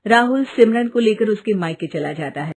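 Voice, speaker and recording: A woman's voice: mid-range voice, expressive, moderate pace. A woman narrating a scripted story as its storyteller.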